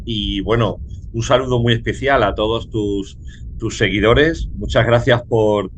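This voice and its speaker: Courteous Spanish professor, measured speech. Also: male voice, on the low side, background noise. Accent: Castilian Spanish. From Spain. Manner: courteous, measured, with hesitant fillers. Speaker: guest professor. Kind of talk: relaxed academic conversation.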